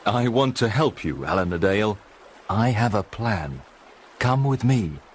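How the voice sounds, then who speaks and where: A man's voice: fairly low pitch, expressive, a little slow, a little hiss or hum. A man voicing a brave young outlaw hero, earnest and firm in a storybook reading. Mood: kind. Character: bold male outlaw hero, helpful and brave.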